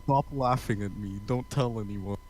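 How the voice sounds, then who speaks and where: A man's voice: fairly low pitch, moderate pace, darkish tone, low-quality recording. A man reading character lines in a casual comedic read-along with friends. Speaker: co-reader of character dialogue.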